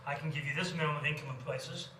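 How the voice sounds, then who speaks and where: A man from Canada with a Canadian accent, tutoring economics in a casual one-on-one chat, quick and chatty and often repeating himself. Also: a little high, fairly even intonation, sharp tone. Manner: quick, chatty, repeats phrases, many yeahs. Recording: echoey room, mic at a distance, faint noise.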